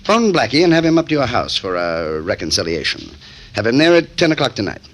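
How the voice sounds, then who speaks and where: A man's voice: mid-range voice, big pitch swings, fairly quick, fairly bright tone. A man playing a formal, politely menacing murder syndicate boss in a 1940s radio drama. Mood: brisk, assured. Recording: vintage 1940s radio recording.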